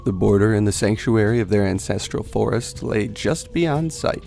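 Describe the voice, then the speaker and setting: A man reading dramatic scripted fantasy narration in a solemn storyteller manner, with a creaky voice. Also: lowish voice, expressive, normal speed, a little mellow, faint music behind.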